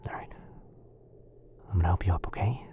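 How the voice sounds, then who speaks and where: A man plays a kind, smitten wizard in a boyfriend ASMR roleplay. His voice is deep and dark, mostly dropped into a hushed whisper. He speaks softly and soothingly, as if looking after someone. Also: a little fast, highs cut off. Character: kind, caring, smitten young male wizard.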